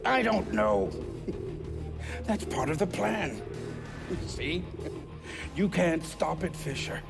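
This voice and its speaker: A man's voice: on the high side, big pitch swings, slow, creaky, over music, full character voice. A man playing a smug, defiant conspiracy villain in a video game, with a sardonic, condescending manner. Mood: defiant, pained. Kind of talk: video game cutscene acting.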